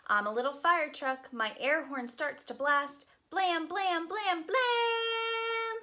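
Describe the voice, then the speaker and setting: A woman's voice: very high voice, slow, sharp tone, pitched above the performer's own voice, full character voice. A woman voicing an eager, proud little fire truck in a children's picture book, with emphatic sing-song rhyming delivery.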